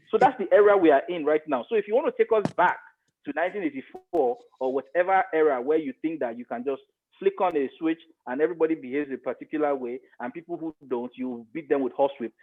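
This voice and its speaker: A middle-aged man with a Nigerian accent giving conversational commentary as an interview guest, emphatic and repeating phrases to press his point. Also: a little high. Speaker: interview guest, media expert. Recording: phone-like thin radio-style sound.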